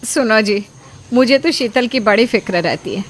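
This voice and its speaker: A woman voicing a worried middle-aged mother in an animated family story, nagging and insistent. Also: on the high side, normal speed, slight background noise, lightly acted.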